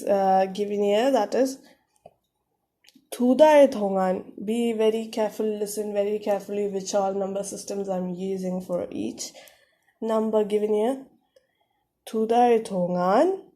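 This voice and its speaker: Give me a woman explaining a lesson, step by step, with a warm tone. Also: on the high side. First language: not English or Korean. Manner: careful step-by-step explaining with frequent fillers. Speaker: Korean language teacher.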